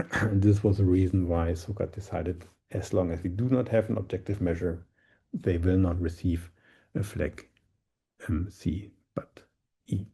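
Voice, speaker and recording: A middle-aged man with a background presents science in a very dark, mellow voice. There is a lot of fry and husk in it. He speaks haltingly, often doubling back on his words as he explains. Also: sits low, fairly even intonation. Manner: halting, searching for words, repeating himself.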